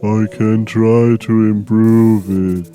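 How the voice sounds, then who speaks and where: Man playing a literal-minded helper, very slow, mellow and dark, deadpan, soft music behind. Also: lowish voice. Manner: earnest, takes things literally. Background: background music.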